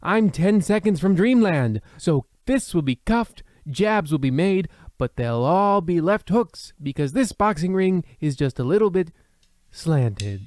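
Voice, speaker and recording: A man's voice: high voice, big pitch swings, unhurried, a little mellow, dry recording, studio quality. A man playing a pompous, rambling, self-admiring host of an old-time radio mystery play, with theatrical delivery. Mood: grandiose.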